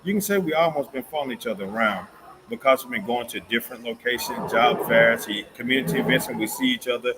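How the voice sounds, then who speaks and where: Hoarse man hosting interview. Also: medium pitch, fast, very noisy. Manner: upbeat, promotional, stumbles over words. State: slightly hoarse. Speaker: host.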